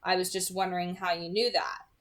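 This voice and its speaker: A woman's voice: fairly low pitch, level tone, fairly quick, fairly bright tone, close to an everyday voice. A woman voicing an anxious, inquisitive teenage boy in a novel read-aloud, with a questioning, easily flustered manner. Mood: curious, insistent.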